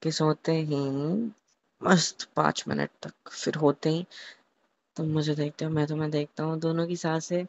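A young man in an intimate, casual phone chat, telling a romantic scenario haltingly, repeating words and mixing in English. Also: fairly high pitch, expressive. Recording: muffled lo-fi phone call recording.

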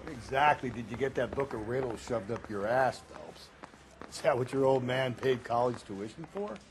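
An actor voicing a gruff, cynical middle-aged American police detective in a video game, blunt and sarcastic. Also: male voice, medium pitch, normal speed, slight background noise, close to an everyday voice. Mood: exasperated. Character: gruff, cynical veteran male cop partner. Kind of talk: video game dialogue, crime drama.

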